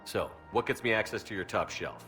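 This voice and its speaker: An actor playing a composed, commanding military commander in a science fiction game, measured and direct. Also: male voice, on the low side, sharp tone, faint music behind. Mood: businesslike. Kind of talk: video game acting.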